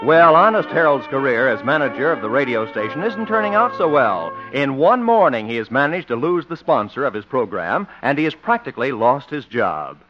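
A man's voice: mid-range voice, very animated, brisk, hardly any pauses, over music. A man announcing in a polished, emphatic, formal 1950s radio style. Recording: dull old radio recording.

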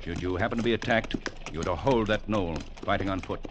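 An actor playing a stern cavalry captain in an old-time radio drama, giving orders in a clipped, commanding way. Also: male voice, sits low, fairly quick, natural voice. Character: stern, curt male cavalry captain briefing a subordinate. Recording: noisy 1950s radio recording.

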